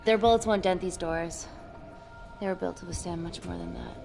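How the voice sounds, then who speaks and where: An actress playing a clever, composed scientist trapped in a lab, in naturalistic film style. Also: female voice, on the low side, fairly even intonation, normal speed. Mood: tense, explaining. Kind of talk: naturalistic live-action game film acting. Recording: film audio with music behind. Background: clear film music.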